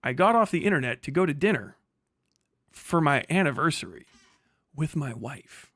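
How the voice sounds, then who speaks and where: A man's voice: on the high side, animated, normal speed, a bit bright, no echo. Exasperated man arguing, dry close sound. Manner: exasperated, argumentative, emphatic, rambling. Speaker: streamer reacting to a video. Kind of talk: casual reaction commentary, profane.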